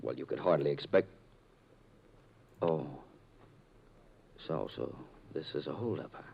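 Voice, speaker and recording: A man's voice: a bass voice, lively intonation, a little slow, gravelly, heavily acted. A man acting a well-bred, guarded and coolly defiant young man in a 1940s radio crime drama. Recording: muffled, noisy old radio recording.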